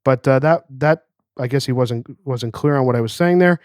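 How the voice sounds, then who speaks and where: A man's voice: medium pitch, normal speed, darkish tone, smooth, dry recording, clean studio sound. A man hosting a casual podcast, reading listener letters aloud in a joking way. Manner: reads letters aloud, jokey. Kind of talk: casual chat. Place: cigar lounge.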